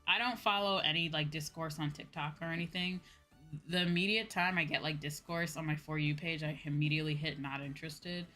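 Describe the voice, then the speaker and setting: A woman's voice: sits low, steady tone, fairly quick, bright, forceful tone, faint music behind. A woman livestreamer chatting casually, in an informal, slangy, ranting way that acts out her own reactions. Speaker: VTuber livestream host.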